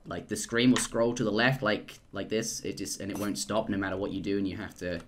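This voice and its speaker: Excitable rambling young male game caster. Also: fairly low pitch, fairly quick, noisy background. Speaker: esports caster. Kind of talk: live game commentary.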